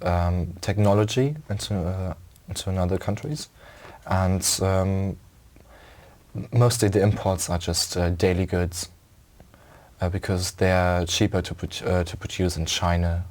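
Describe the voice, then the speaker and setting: A young man, answering speaking exam questions in a halting way, with many fillers. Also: sits low, level tone, darkish tone. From Germany. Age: young adult. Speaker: exam candidate.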